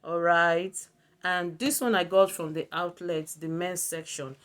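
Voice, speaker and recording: A woman's voice: lowish voice, little pitch movement, unhurried. A woman with a Nigerian accent gives a casual product review as a video blogger, often repeating words for emphasis.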